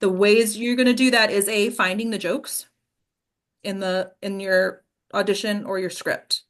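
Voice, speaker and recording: A woman's voice: medium pitch, level tone, measured pace. A woman teaching a class as an acting coach, explaining informally and often checking in with the listeners. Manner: explanatory, checks in with "okay?" and "right?".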